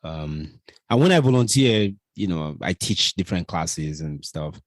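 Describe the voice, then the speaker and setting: A man's voice: deep voice, animated, a little slow, no echo. A man from Nigeria with a Nigerian accent, talking reflectively in a casual podcast chat and often affirming his co-host. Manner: reflective, affirming, many backchannels.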